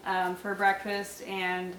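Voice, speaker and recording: A young woman talks casually to her viewers, her voice stuffy and nasal from a head cold. She fills her sentences with ums and soft laughs. The sound is echoey, and she sits a little back from the mic. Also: medium pitch, monotone, takes it slowly, far from the mic, slight background noise. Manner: chatty, many fillers and small laughs. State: congested, nasal, sore throat. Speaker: vlogger. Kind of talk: casual pregnancy update vlog.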